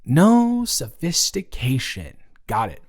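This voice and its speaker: A man's voice: medium pitch, huge pitch swings, exaggerated voice. A man playing a nervous, smitten roommate in an improvised romantic roleplay audio, rambling and stammering in intimate one-sided talk. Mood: playful, flustered. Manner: stammering, rambling, giddy, halting. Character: nervous, smitten male roommate confessing love.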